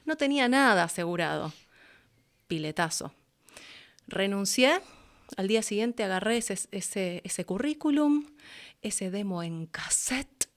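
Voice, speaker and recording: A middle-aged woman with an Argentine Rioplatense accent, telling stories as an interview guest in a casual, expressive, chatty way. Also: medium pitch, a little slow, long pauses. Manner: expressive, chatty, acts out her own past thoughts. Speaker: interview guest, voice actress and announcer. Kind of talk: casual conversational storytelling.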